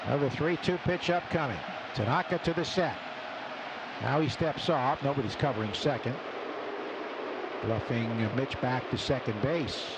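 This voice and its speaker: A man's voice: mid-range voice, moderate pace. A man calling baseball play-by-play live on the radio from a stadium booth. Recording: radio broadcast over loud ballpark background noise.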